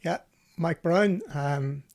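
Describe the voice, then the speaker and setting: Higher-voiced man hosting with a creaky edge. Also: slow pace, darkish tone. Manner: chatty, storytelling, bantering. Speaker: host of a live rally show; former professional co-driver. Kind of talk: casual live chat and anecdotes.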